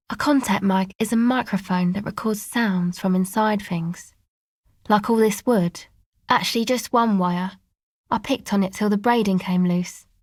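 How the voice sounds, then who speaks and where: A woman playing a laid-back, sardonic young woman in a video game, speaking in a casual, slangy, deadpan way. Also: mid-range voice, no echo, studio quality, close to an everyday voice. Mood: casual, dry. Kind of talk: video game character dialogue.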